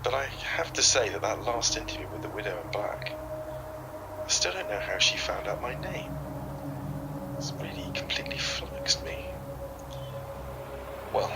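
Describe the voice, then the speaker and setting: Actor as male investigator, lowish voice, hesitant and musing, polite, found-tape audio drama, noisy background. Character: hesitant, puzzled male folklore investigator recording his notes. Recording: noisy field recording with outdoor ambience.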